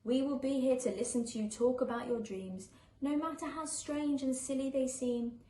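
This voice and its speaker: A woman's voice: high voice, moderate pace, mellow, distant mic, close to an everyday voice. A woman voicing a caring, loyal young friend in a children's storybook, earnest and reassuring in rhyme. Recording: echoey room with faint background noise.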